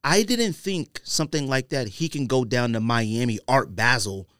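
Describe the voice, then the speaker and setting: American man talking casually, low-pitched and slangy. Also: normal speed, clear, resonant tone, no echo, clean studio sound. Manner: animated, slangy storytelling with acted-out imagined quotes. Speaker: podcast host. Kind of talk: casual podcast talk.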